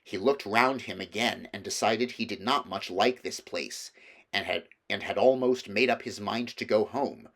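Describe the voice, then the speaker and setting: A man's voice: fairly low pitch, normal speed, fairly bright tone. A man reading a children's novel aloud as scripted storybook narration. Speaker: audiobook reader.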